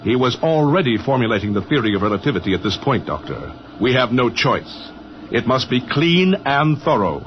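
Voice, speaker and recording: A businesslike male physicist, played by a radio actor, instructs courteously and exactly. His medium-pitched voice moves with lively emphasis. Clear noise sits on the muffled vintage radio recording. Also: brisk, lightly acted. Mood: instructive, resolute. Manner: precise, instructive. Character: brisk, courteous, businesslike male physicist running a time machine. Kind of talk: 1950s radio drama acting. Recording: dull, noisy old radio recording.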